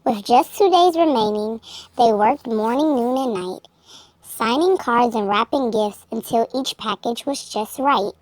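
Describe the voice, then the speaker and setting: A woman narrates a children's storybook in a high-pitched voice. The sound is dry, with very little room around it. She phrases each line in a measured, expressive way suited to young listeners. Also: dry recording, low-quality recording. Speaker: children's book reader. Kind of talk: storybook read-aloud narration.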